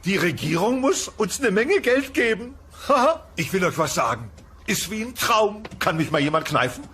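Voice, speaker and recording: A man's voice: sits high, very animated, normal speed, a bit bright, slight background noise. A man playing a greedy, boisterous dinosaur father in a comedy audio play, blustering and gleefully exclaiming. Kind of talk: dubbed comedy radio play acting.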